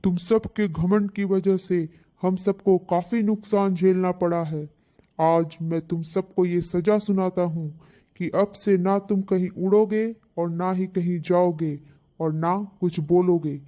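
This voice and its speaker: A woman acts out an old banyan tree, chief of all trees, in a children's storybook tale. The voice is mellow and stern, declaiming with solemn, commanding authority. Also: lowish voice, voice pushed down, natural voice.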